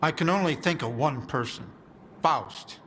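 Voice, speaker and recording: A man's voice: a little high, lively intonation, unhurried, a bit bright. A man voicing a worried male survivor in a video game, explaining things in an earnest, urgent way. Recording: game audio with clear ambient noise.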